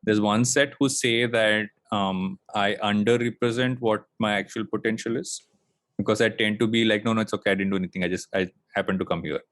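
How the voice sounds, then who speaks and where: A man's voice: lowish voice, steady tone, brisk, a bit bright, no echo. A man in his late thirties from India, a podcast guest talking in a reflective, rambling way with lots of fillers and restarts. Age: late 30s. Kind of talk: casual interview chat.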